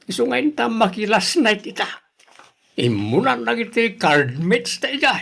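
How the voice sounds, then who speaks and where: Roguish village pal for radio drama male actor: Ilocano, high gravelly voice, excitable and conspiratorial. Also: normal speed, exaggerated voice. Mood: sly, egging on.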